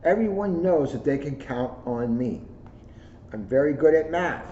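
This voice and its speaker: Mellow man reading aloud in an echoey room. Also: a little high, lively intonation, unhurried. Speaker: police officer reading a children's book aloud. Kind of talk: children's book read-aloud with casual asides. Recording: roomy echo, muffled audio, faint noise.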